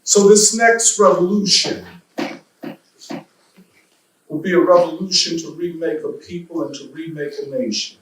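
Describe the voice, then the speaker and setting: Slow man preaching in an echoey church. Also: fairly high pitch, slight background noise. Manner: slow deliberate preaching with rhetorical questions. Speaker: guest speaker. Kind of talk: church lecture speech. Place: church hall. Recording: echoey hall from far off.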